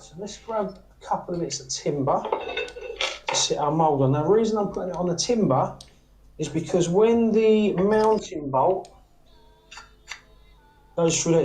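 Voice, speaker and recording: A man's voice: sits high, animated, moderate pace, a little mellow, a little hiss or hum, rough recording. A British man giving a casual hands-on workshop demonstration, informal and often checking in with the viewer as he explains each step. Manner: informal, often checks in with "all right?" and "okay?". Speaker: antenna maker demonstrating his workshop process. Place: small workshop, indoors.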